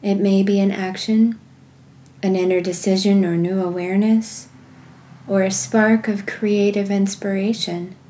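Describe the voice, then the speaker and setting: A woman leading guided contemplative prayer and reading scripture in a measured, meditative manner with pauses. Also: mid-range voice, flat delivery, dark tone. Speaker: guided prayer podcast host.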